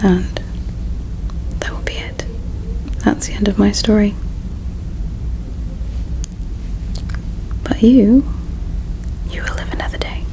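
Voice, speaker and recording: A gentle alien woman, acted by an ASMR performer, murmuring mostly in a breathy whisper. Her voice crackles with heavy vocal fry at the edges. She is caring and resigned, speaking slowly and wistfully in an intimate roleplay. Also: mid-range voice. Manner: slow, hushed, tender, reflective.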